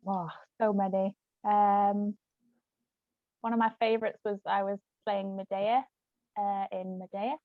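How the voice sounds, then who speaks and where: A woman's voice: mid-range voice, little pitch movement, a little slow, pauses often, dry recording. Woman on a thin, phone-like line. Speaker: guest, actress and author. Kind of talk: casual chat, anecdotal. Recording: thin phone-like audio, dry.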